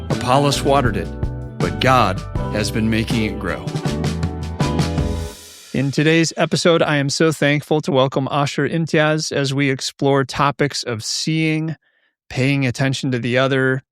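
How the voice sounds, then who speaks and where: A man's voice: mid-range voice, no echo. Man hosting a ministry podcast, faint music. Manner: measured, warm formal welcome, reads intro. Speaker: podcast host and pastor. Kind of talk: scripted intro then interview.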